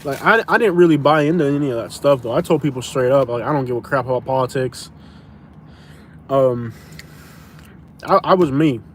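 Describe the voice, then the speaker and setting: A man's voice: mid-range voice, moderate pace, long pauses, slight background noise. A young man from Florida, casually answering viewer questions on a live stream, rambling and restarting his sentences, calling people "bro". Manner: rambling, repeats himself and restarts, says "bro".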